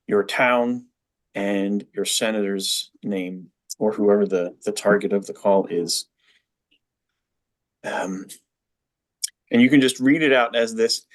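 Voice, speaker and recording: A man presenting a training webinar, explaining software step by step in a methodical way. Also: lowish voice.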